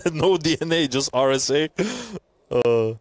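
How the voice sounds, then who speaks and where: A young man from Belgium hosting a live sports stream, chatting with viewers and calling the play with rising excitement. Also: mid-range voice, slow, dry recording. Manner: chatty, reads out chat messages, laughs often, excited during play. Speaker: livestream commentator. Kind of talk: casual stream chat and play-by-play commentary.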